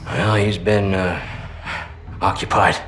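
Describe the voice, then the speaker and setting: An actor playing a swaggering private military commander in a game cutscene, with a folksy, smooth-talking manner. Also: male voice, low voice, fairly even intonation, takes it slowly, slight background noise. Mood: breezy, sly. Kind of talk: cinematic game cutscene acting. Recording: loud music behind the voice.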